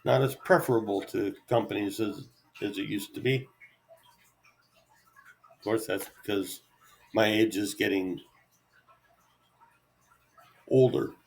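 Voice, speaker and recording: A middle-aged man rambling unscripted to his viewers as a solo vlogger, halting and easygoing, with self-deprecating asides. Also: fairly low pitch, creaky, low-quality recording.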